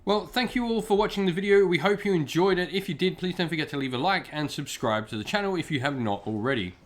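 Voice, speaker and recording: A man hosting an informal review, talking conversationally to viewers and softening his points with small asides. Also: on the high side, lively intonation, fast talker. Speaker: channel host, book reviewer. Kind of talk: informal scripted-outline review commentary to viewers.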